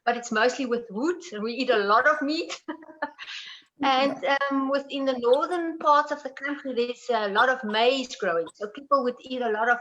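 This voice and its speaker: Higher-voiced South African woman, muffled audio. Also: a bit bright. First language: Afrikaans. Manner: hesitant, many ums, laughs often. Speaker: panel guest. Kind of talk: casual conversation. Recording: dull lo-fi call audio.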